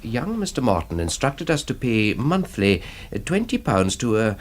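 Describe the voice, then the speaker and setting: An actor playing a genial, fussy older bank manager in a radio drama, hesitant and formally precise. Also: male voice, medium pitch, big pitch swings, moderate pace, slight background noise, natural voice. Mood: careful, discreet. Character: fussy, genial elderly Scottish bank manager, discreetly thrilled.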